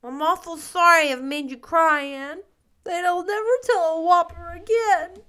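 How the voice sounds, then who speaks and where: Lady doing a earnest little storybook boy, high bright voice, blurts stuff out all childish n frank. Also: sing-song, unhurried, exaggerated voice. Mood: tearful, remorseful. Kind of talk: storybook character voice.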